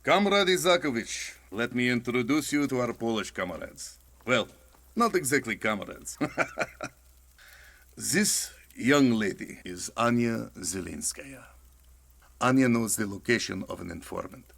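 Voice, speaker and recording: An actor playing a hearty but ruthless Soviet army lieutenant in a game cutscene. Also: male voice, mid-range voice, animated, a little slow, gravelly, a bit bright, full character voice. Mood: jovial, commanding. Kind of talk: dramatic game cutscene acting.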